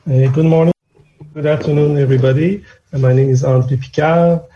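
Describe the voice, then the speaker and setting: A man's voice: a little high, steady tone, brisk. A man with a Quebec French accent, a department head, introducing himself cordially and formally. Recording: dull lo-fi audio.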